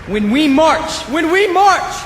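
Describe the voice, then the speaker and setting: A man's voice: extremely high pitch, very animated, crowd noise, noisy background, heavily acted. An actor playing an insecure young male demagogue in a radio drama, declamatory and fervent in an echoing space. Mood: rousing, fervent. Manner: slow, declamatory, pleading. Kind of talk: theatrical radio drama oratory.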